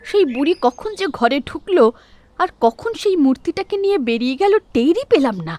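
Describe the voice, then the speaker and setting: A woman voicing a devout older village mother-in-law in an animated horror cartoon, speaking in a scolding, fervent way. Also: high-pitched, normal speed, dry recording. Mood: amazed. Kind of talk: animated horror story dub. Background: background music.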